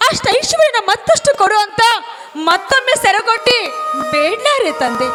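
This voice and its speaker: A woman playing a devoted, pleading young wife in a melodramatic stage drama, with emotional, drawn-out delivery. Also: very high-pitched, very animated. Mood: pleading, prayerful. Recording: loud music over the voice.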